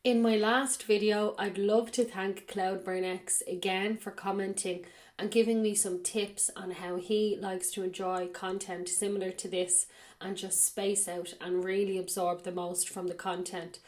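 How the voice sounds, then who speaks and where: A woman hosting a podcast, reading a spiritual text aloud in a slow, measured way and then commenting on it, her voice a little raspy from a cold. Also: mid-range voice, flat delivery, darkish tone. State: has a cold. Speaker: podcast host.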